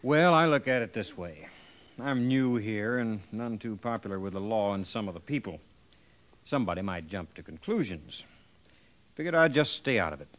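An actor playing a proud, stubborn young drifter who answers in a guarded, terse way in a 1950s radio western. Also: male voice, fairly low pitch, expressive, moderate pace, fairly bright tone. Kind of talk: 1950s radio drama acting.

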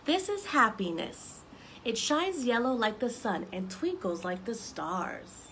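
A young woman reading picture books aloud to children, expressive and explaining things simply as she goes. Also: a little high, unhurried, a little hiss or hum, low-quality recording. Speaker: story time reader for children. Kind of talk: children's picture book read-aloud with asides. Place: outdoors on a wooden deck among trees.

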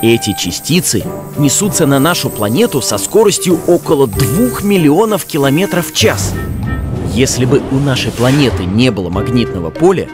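A man's voice: mid-range voice, very animated, moderate pace. A man reading scripted educational narration for children as a narrator. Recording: music under the voice.

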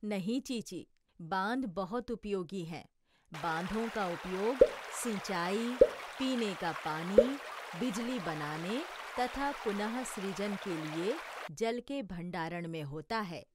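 A woman voicing a gentle, homesick village mother who explains things patiently. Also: mid-range voice, lively intonation, slow. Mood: wistful. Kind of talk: educational cartoon voice acting.

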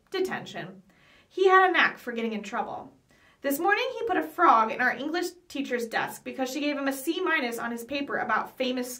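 A woman's voice: sits high, pushed and bright. A young adult woman reading a children's chapter book aloud expressively, with small stumbles over words. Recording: voice picked up from some distance.

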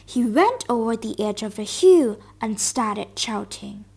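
A woman narrating a fable in a student puppet show, in slow, clear storybook style. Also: a little high, animated, very noisy.

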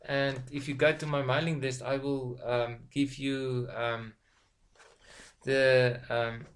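A middle-aged man whose first language is Afrikaans talks to his webcam in a small room at home, his English carrying a clear South African Afrikaans accent. He speaks in a steady middle pitch, pausing on ums and repeating words as he builds each thought. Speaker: online business vlogger. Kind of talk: casual motivational talk to camera. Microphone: webcam at desk distance.

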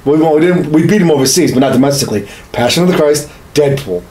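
A man's voice: a little high, animated, fast talker, crowd noise. A man in his thirties chatting casually as a podcast co-host in a small indoor room. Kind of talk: casual conversational chat about games and movies. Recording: echoey, noisy room recording.

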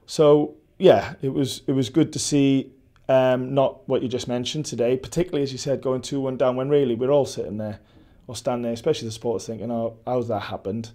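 A Welsh football coach in his forties answers post-match questions indoors, close to the mic. His voice is mellow and dark, and his delivery stays level, with frequent restarts and fillers as he thinks aloud. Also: mid-range voice, fairly even intonation, brisk, hardly any pauses. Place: indoor press backdrop at a stadium.

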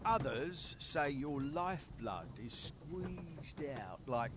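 A grumbling fantasy-game cart driver, mercenary and sardonic. His delivery is slow but swings widely in pitch, with old-fashioned turns of phrase. Clear ambient rumble runs behind him. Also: big pitch swings. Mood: sardonic, callous. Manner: muttering, archaic phrasing, sardonic. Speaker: non-player character in a fantasy video game. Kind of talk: fantasy video game acting.

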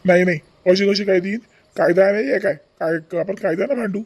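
A man voicing a mild, agreeable village husband in a family comedy cartoon, husky and gravelly, with a rural Vidarbha Marathi accent. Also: sits high, a little fast, darkish tone. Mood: resigned, agreeable. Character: mild, agreeable village husband who defers to his wife.

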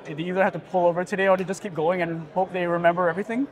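A middle-aged man giving an assured, agreeable and well-structured conversational pitch as an interview guest. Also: level tone, very fast. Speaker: startup founder being interviewed. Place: busy indoor expo hall booth.

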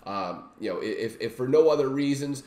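A middle-aged man with a voice worn by illness gives an informal, enthusiastic software walkthrough as he shares his screen. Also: mid-range voice, expressive, fairly quick. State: sick, recovering from a lost voice. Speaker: software reviewer and demonstrator. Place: small indoor home room. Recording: roomy, echoey home-room sound.

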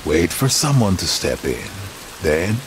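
A man's voice: low voice, sing-song, unhurried. An actor playing a big, cocky, laconic Western tough guy in a video game, amused with himself. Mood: amused, scheming. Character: big cocky brawny trapper, slow-talking gunslinger. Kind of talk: dramatic video game cutscene acting. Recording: rain noise behind the voice.

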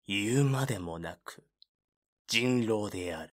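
An actor playing the solemn narrator of a dark voice drama, reading scripted narration. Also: male voice, on the low side, expressive, a little slow.

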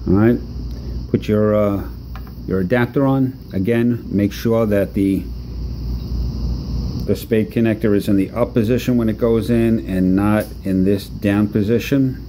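A man talking through a hands-on repair demonstration in a relaxed, halting way with many fillers, recorded off-camera at an indoor workbench. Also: lowish voice, a little hiss or hum. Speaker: product demonstrator.

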